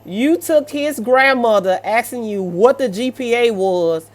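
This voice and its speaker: A woman's voice: fairly high pitch, measured pace, darkish tone. A middle-aged woman, reading stories and reacting in a casual, sassy, motherly, colloquial way. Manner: motherly, sassy, colloquial, addressing listener as baby. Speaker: host of a story-reaction show.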